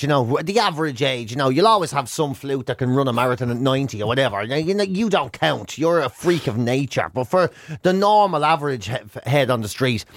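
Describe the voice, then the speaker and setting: A man's voice: medium pitch, no echo, clean studio sound. An Irish man co-hosting a radio show, bantering casually and acting out the exchanges in his comic anecdotes. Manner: animated, often acts out quoted exchanges.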